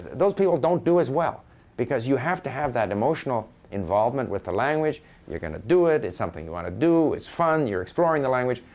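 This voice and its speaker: A Canadian man talks informally and with firm opinions, like a seasoned learner handing out advice. His mid-pitched voice moves up and down a great deal. He stresses his points emphatically, often repeating a phrase to drive it home. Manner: emphatic, repeats phrases for stress, quotes imagined speakers conversationally. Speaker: experienced language learner giving advice.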